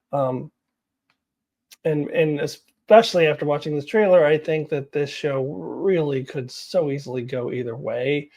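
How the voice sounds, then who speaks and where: A woman's voice: low voice, warm tone. A woman vlogger chatting casually to viewers about her opinions, candid and self-deprecating, often laughing as she talks. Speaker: vlogger giving opinions on TV news.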